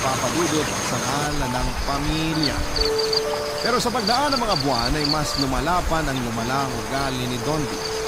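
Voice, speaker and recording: A man's voice: fairly high pitch, heavy background noise. A man narrating a scripted first-person life story as the narrator of a radio drama. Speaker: drama narrator reading a letter sender's story. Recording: loud music behind narration.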